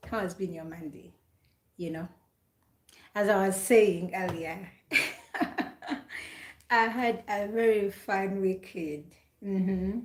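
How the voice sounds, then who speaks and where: A woman from Uganda hosts a relaxed live stream at a middle pitch. She is chatty and repeats her questions as she greets viewers by name. The room gives her voice a noticeable echo. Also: slow, long pauses. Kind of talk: casual live stream chat.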